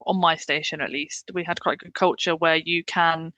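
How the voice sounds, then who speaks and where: A woman's voice: low voice, fairly even intonation, moderate pace, sharp tone, no echo. Chatty English woman, dry close sound. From England. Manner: chatty, laughs easily, many fillers. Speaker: paramedic guest. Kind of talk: candid interview conversation.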